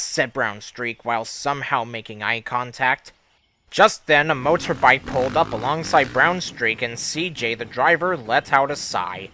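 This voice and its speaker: A man reading a scripted children's story as narrator, expressive, with deadpan understatement. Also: medium pitch, slight background noise. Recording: music under the voice. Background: music.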